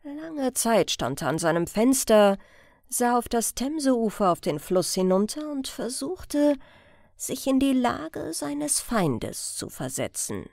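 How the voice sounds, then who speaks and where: A woman's voice: fairly high pitch, very animated, slow, creaky, a little mellow, no room sound, studio quality. A woman reading a scripted story aloud as an audiobook narrator.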